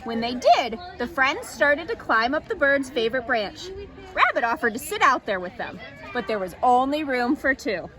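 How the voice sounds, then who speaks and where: A woman reading a children's story aloud as an expressive storytime narrator. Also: sits high, moderate pace.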